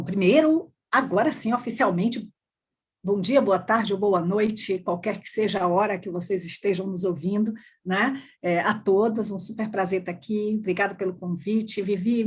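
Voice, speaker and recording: A woman's voice: mid-range voice, moderate pace, husky. A middle-aged Brazilian woman with a Bahian accent, talking effusively and discursively as a guest in a conversational interview. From Salvador, Bahia, Brazil. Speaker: podcast guest, corporate HR executive.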